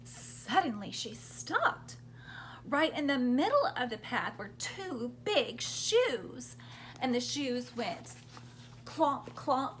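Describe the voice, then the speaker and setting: A woman's voice: high-pitched, sing-song, slow pace, a bit bright, a little hiss or hum, poor audio. A woman reading a story aloud to young children expressively, prompting them to join in as she goes. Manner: interactive, prompting children with questions and sound words.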